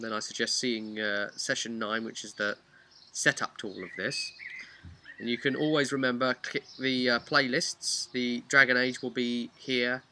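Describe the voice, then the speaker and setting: A man recaps a tabletop roleplay session as its game master. His voice is very bright and forceful, at an ordinary male pitch. He rambles through the story in an animated, casual way, with faint noise behind him. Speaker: game master and vlog host.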